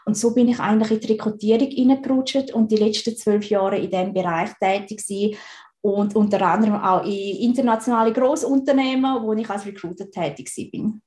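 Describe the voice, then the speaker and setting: A woman's voice: mid-range voice, fairly even intonation, brisk, dark tone. A woman in her thirties from Switzerland with a Swiss German accent, chatting as an interview guest and often laughing. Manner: chatty, laughs easily, frequent restarts. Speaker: guest, recruiting consultant. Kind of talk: casual interview talk. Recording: roomy echo.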